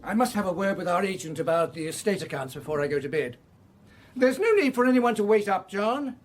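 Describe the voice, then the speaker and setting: Aloof gentleman, gloomy, formal, high pitch, varied intonation, radio drama. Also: big pitch swings, fairly quick. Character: aloof, gloomy English husband, stiffly polite.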